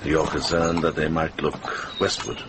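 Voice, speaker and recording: A very low-pitched worldly monk, dry and watchful. He speaks as a shrewd older man in a radio play, with clear noise on the recording. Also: fairly even intonation, measured pace. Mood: measured, shrewd. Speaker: actor in a radio drama. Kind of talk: radio drama dialogue. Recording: noisy vintage radio drama recording.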